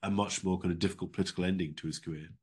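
Deep, husky middle-aged British man. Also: fairly even intonation, very fast, a little mellow. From United Kingdom. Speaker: interview guest, former diplomat. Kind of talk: conversational interview answers. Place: indoor room at home, video call.

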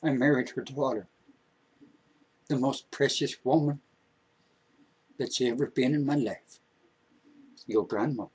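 A man's voice: mid-range voice, breathy edge, rough recording. A man voicing a patient, remorseful old grandfather, speaking tenderly and repeating his words. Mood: confessing.

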